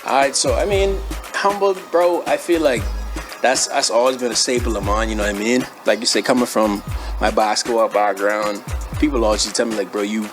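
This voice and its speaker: A man's voice: lowish voice, steady tone, fairly quick. A young man with a Bahamian accent chatting casually as an interview guest, in a laid-back, slangy way. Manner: laid-back, slangy, frequently says bro and you know what I mean. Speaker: interview guest, former athlete and brand owner. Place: studio. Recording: music playing behind the voice. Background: music.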